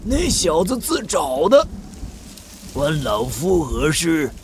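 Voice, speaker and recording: Casting: eccentric old schemer sage, gravelly, slow and drawn out, swooping theatrically, cynical; wuxia radio drama. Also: male voice, on the high side, huge pitch swings, slight background noise, exaggerated voice. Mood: dismissive. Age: older. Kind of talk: Chinese wuxia audio drama acting.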